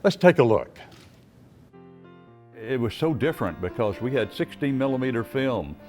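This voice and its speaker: A man's voice: mid-range voice, sing-song, normal speed, a little mellow, faint music behind. An American man in his seventies, a veteran broadcaster, reminiscing in unscripted talk. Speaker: veteran former broadcaster, guest.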